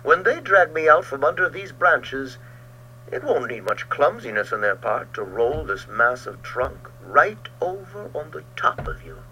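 A man doing a trapped forester who snarls and jeers at his rival, savage and taunting. The voice is sharp and tense, swinging widely in pitch. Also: very animated, unhurried, a little hiss or hum, heavily acted, rough recording. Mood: menacing.

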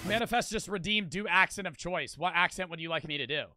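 A man's voice: high voice, fast talker, background noise. A man with a New Jersey accent, chatting bluntly and crudely while reacting to a video on a live stream. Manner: blunt, crude, self-mocking. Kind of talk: casual live-stream chat.

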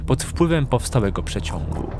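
A man narrating a scripted audio drama with measured storytelling delivery. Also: mid-range voice, big pitch swings, creaky, a little mellow, slight background noise.

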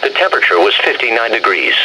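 Automated male weather announcer: synthetic, measured, mid-range voice, heard over a handheld radio with plain background noise. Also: medium pitch, huge pitch swings, creaky, pushed and bright. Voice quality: synthetic text-to-speech voice. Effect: played through a handheld radio speaker. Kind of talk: scripted weather bulletin narration. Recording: noisy handheld radio speaker in car.

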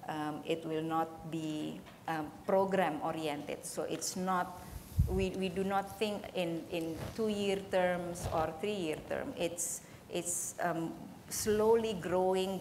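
A woman in her thirties speaks on a conference panel, earnest and halting, with frequent ums between phrases. Her voice sits fairly low. The room adds a long reverb tail and a faint hum of ambience. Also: fairly even intonation, a little mellow. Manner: hesitant, many ums, earnest. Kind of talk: panel discussion answer.

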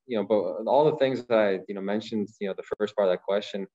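Deep-voiced masked goalkeeper on a video call. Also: male voice, warm tone. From Ohio. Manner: measured, repeats words while thinking, many fillers. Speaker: professional goalkeeper. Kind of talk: press conference answers. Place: small room, branded backdrop. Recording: slightly dull lo-fi audio.

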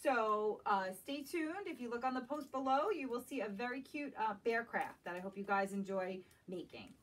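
A woman's voice: fairly high pitch, lively intonation. A woman around her forties reading a picture book expressively to children, as if at a library storytime. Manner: slow, questioning asides to young listeners. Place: small indoor room lined with bookshelves. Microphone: camera a few metres away. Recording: distant camera mic in a room.

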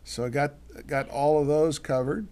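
Older man, cooking show co-host, halting, rambling, lots of fillers, home kitchen. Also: on the high side, steady tone, dark tone, clear, resonant tone. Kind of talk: casual cooking demonstration. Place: home living room, then kitchen.

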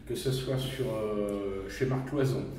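A man's voice: fairly low pitch, slow, a little mellow. A man working as a radio interviewer, chatting casually with his guests. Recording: very echoey, slightly distant, background noise.

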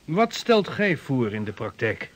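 An actor playing a dignified, shrewd dignitary who backs sea voyages, in radio drama, speaking in a formal, measured way. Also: male voice, mid-range voice, big pitch swings. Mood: approving. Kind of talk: Dutch radio drama acting.

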